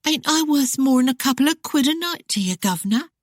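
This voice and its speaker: Woman reading a young noblewoman, high-pitched, heated, dry close sound. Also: lively intonation, fairly quick, no room sound. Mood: mocking.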